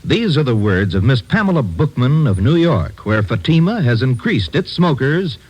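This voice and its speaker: A man's voice: mid-range voice, very animated, normal speed, a little mellow, slightly rough, rough recording. A man reading a commercial as a vintage radio announcer, with emphatic, polished salesmanship. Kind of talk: 1950s radio advertising announcer.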